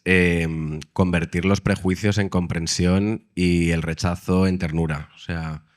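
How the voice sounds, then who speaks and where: Guy actor on a panel, really low voice, stops and repeats words as he thinks. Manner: thoughtful, restarts with repeated words. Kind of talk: live panel talk. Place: festival press event room.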